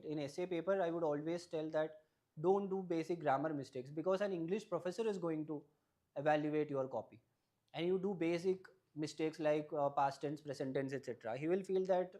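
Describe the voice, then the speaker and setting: A man's voice: fairly high pitch, fairly quick, mellow. Young man, native Malayalam speaker, advising. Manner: advisory, often ends points with a questioning "right?". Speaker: exam topper giving advice. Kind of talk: explanatory interview answers.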